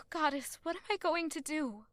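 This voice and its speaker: A woman's voice: high voice, lively intonation, measured pace, a bit bright, dry recording. An actress playing a young woman who anxiously reflects on the man she loves, in inner narration. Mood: troubled.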